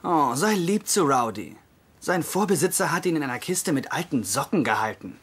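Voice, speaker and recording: An actor playing an eager, earnest, naive young doctor in a TV comedy dub. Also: male voice, fairly high pitch, big pitch swings, normal speed, a bit bright, a little hiss or hum. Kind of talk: German-dubbed TV comedy acting and narration.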